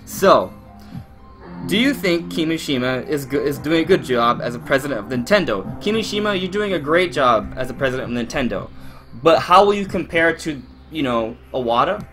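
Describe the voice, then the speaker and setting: A man's voice: a little high, lively intonation, normal speed, fairly bright tone, soft background music. A man in his twenties from Miami hosting a live Q&A stream, rambling casually with lots of fillers and restarts as he talks to his chat. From Miami, Florida, USA. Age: 20s. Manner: rambling and chatty, many fillers and restarts, addresses chat directly.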